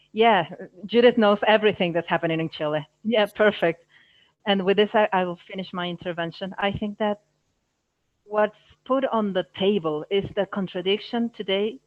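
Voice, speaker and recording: Dull dry audio, halting woman interpreter. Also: mid-range voice, no echo. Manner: halting, phrase by phrase, self-correcting. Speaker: live interpreter voicing panelists. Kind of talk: live simultaneous interpretation of a panel discussion.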